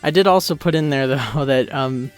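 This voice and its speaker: A man's voice: medium pitch, normal speed. A man giving casual, hesitant and self-deprecating commentary as a creator on his own episode. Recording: music and episode audio underneath. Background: cartoon soundtrack playing underneath.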